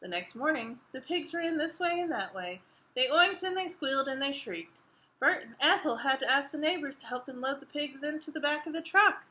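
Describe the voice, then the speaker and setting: Female children's storyteller, high bright voice, expressive picture-book read-aloud for little ones, engages listeners with questions. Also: moderate pace. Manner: expressive, asks the young listeners questions as she reads. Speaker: children's librarian reading a storytime.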